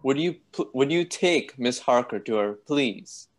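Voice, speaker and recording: A man playing a courteous, troubled gentleman doctor with polite, formal speech in a radio play. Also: medium pitch, lively intonation, measured pace, natural voice. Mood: preoccupied.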